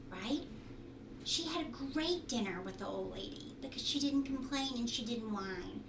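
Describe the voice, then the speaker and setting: A woman reading a children's storybook aloud like a teacher, explaining and checking in with listeners with questions. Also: on the high side. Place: at home indoors. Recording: roomy home recording, distant mic, faint noise.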